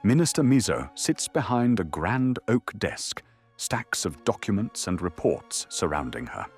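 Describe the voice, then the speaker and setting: A man's voice: on the low side, very animated, measured pace, a little mellow, husky, soft background music. A man narrating an audio drama in a dramatic, scripted storytelling style.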